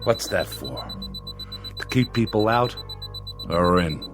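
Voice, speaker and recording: Low-voiced, scornful veteran employee. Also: male voice, lively intonation, music in the background. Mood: sardonic. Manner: deliberate, dry, scornful. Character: bitter, principled long-serving male employee quitting his job. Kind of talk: radio drama acting.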